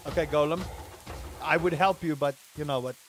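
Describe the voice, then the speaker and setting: A man from England live-streaming a game, chatting casually with his viewers and breaking into excited exclamations. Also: on the high side, normal speed, slight background noise. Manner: animated, rising to excited exclamations; chatty, addresses chat directly. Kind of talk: live-streamed gameplay chat.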